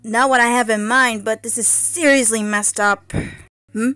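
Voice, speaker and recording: A woman's voice: on the high side, fairly even intonation, moderate pace, bright, forceful tone, dry recording. A woman voicing a feisty young warrior fairy princess, wary and bold, in dramatic, sassy amateur cartoon lines. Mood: confused, uneasy. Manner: dramatic, sassy, hesitant stammers.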